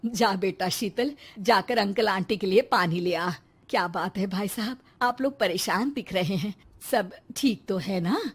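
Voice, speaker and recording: A woman's voice: medium pitch, unhurried. A woman playing a thrifty, practical mother-in-law in a cartoon, motherly and insistent, with music behind her. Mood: welcoming. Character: thrifty, practical older mother-in-law, kindly but firm. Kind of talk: cartoon moral-story voice acting. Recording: clear music under the voice.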